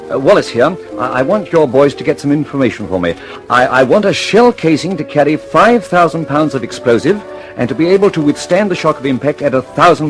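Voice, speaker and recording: An actor playing a harried, determined British aircraft engineer in a radio drama, urgent and insistent, stammering slightly when flustered. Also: male voice, on the high side, low-quality recording. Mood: urgent, businesslike. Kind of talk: mid-century radio drama acting.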